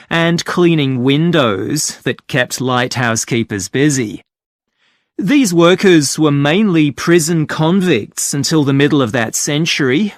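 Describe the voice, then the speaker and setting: Boat tour guide, cheerful, friendly, clear delivery, slow pace, slightly high male voice, scripted talk. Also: dry recording, studio quality. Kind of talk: scripted exam listening talk.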